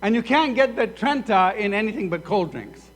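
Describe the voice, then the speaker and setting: A man's voice: high voice, normal speed. A man from Sudan preaching a sermon as pastor, acting out both sides of imagined conversations. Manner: animated, acts out both sides of dialogues. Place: church sanctuary. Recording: echoey hall reverb.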